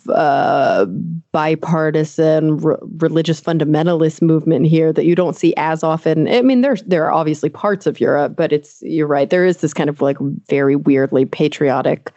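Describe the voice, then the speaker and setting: A young adult woman hosting a casual podcast interview, chatty and animated, laughing often and using fillers like "like" and "um". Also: sits low, moderate pace, few pauses, dry recording, highs cut off. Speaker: podcast host.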